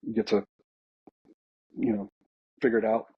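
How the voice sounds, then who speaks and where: A man's voice: lowish voice, little pitch movement, moderate pace, slightly rough. An American man in casual podcast conversation, talking as an air traffic controller in an informal, hesitant, reflective way. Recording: slightly dull, lo-fi voice track.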